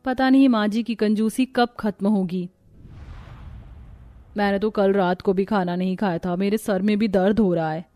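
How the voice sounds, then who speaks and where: A woman's voice: medium pitch, fairly even intonation, normal speed, dark tone, faint music behind, natural voice. A woman voicing a worried, dutiful young daughter-in-law in a cartoon story, speaking politely and pleadingly. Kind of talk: Hindi cartoon moral-story dialogue.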